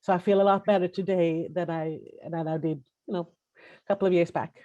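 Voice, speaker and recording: Halting Swedish-accented woman, dark voice. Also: on the low side, steady tone, lo-fi sound. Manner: halting, hesitant, many restarts and fillers. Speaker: webinar panelist. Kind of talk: personal testimony on a webinar.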